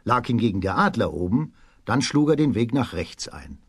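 A man narrating a children's radio play as a storyteller, in a measured storybook delivery. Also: fairly low pitch, very animated, husky, no echo.

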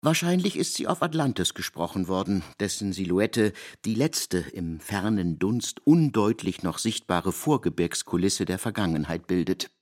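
Low male voice, animated intonation, emphatic storytelling, scripted literary radio narration. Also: moderate pace, few pauses.

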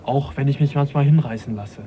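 An actor playing an earnest, courteous young gentleman naturalist in a radio drama, questioning and polite yet persistent. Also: mid-range voice, brisk, muffled recording, background noise, natural voice. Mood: troubled, reflective.

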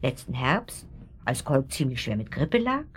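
An actress playing a gossipy, middle-aged upper-class pharmacist's wife in a German radio drama, insinuating and pointed, with trailing asides. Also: female voice, extremely low pitch, sing-song, a little slow, husky, exaggerated voice.

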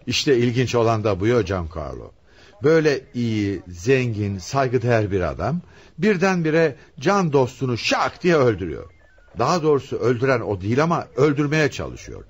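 An actor playing a shrewd, probing police inspector in a Turkish radio play, inquisitive and deliberate. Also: male voice, medium pitch, big pitch swings, husky, highs cut off. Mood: probing, wry. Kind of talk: Turkish radio theatre acting.

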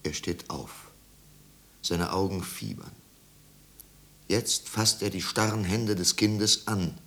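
An actor as the solemn narrator of a 1950s German radio drama, telling a tragic literary tale in measured, dramatic phrases. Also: male voice, sits low, fairly even intonation, fairly bright tone, exaggerated voice. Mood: urgent, dramatic.

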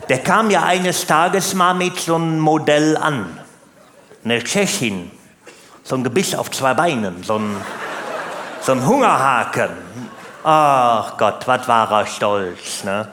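Guy doing a grumbly naive family man standup bit, folksy and rambling, swoopy pitch, roomy live sound. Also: a bit bright. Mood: wry storytelling. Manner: folksy, rambling, tag questions, exaggerating. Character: working-class family-man comic character, chatty, naive, grumbling. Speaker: stand-up comedian. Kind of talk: stand-up comedy monologue to live audience. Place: stage in a live comedy venue. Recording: roomy live hall, faint background noise.

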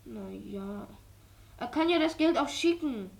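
A woman's voice: on the high side, lively intonation, a little slow, creaky, full character voice. Shy, hesitant young boy. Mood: evasive, uneasy. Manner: hesitant, subdued, evasive. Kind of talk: German radio play acting.

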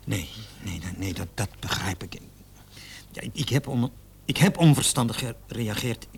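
A man's voice: on the low side, lively intonation, normal speed, vocal fry. Flustered salesman suspect, stammering, evasive, repeats words, radio drama, noisy old recording. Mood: anxious, pleading. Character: nervous, evasive salesman questioned as a murder suspect. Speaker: suspect under police questioning.

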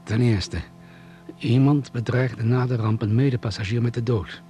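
An actor playing a composed doctor who leads crash survivors, giving a formal, precise account in a radio drama. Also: male voice, medium pitch, fairly even intonation, normal speed, mellow, soft background music, close to an everyday voice. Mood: measured, concerned.

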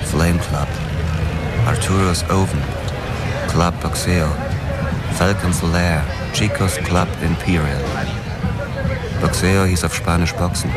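A hard-boiled police narrator and ex-boxer in a radio drama. His voice is extremely low, deadpan and world-weary. Loud music runs behind him.

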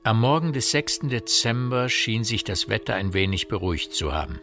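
A man narrating a radio drama as a literary storyteller, in a measured manner. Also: on the low side, sing-song, vocal fry, sharp tone, exaggerated voice. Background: music.

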